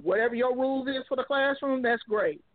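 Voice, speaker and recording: A woman's voice: high-pitched, brisk, dry recording. Outspoken single mom in her 30s, laughs a lot, dry phone-line sound. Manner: blunt, outspoken, laughs often. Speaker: single mother guest. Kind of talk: casual call-in chat.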